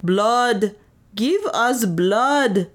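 A woman voicing an enraged, bloodthirsty crowd in an audiobook with drawn-out, demanding cries. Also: fairly high pitch, lively intonation, voice pushed up. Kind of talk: theatrical audiobook character speech.

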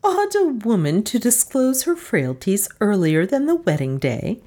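A woman's voice: mid-range voice, very animated, measured pace, dark tone, voice pushed up. A woman voicing a bold, scheming wife with an arch, coaxing manner. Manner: arch, coaxing, theatrical lilt. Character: bold scheming middle-aged wife, sly and domineering.

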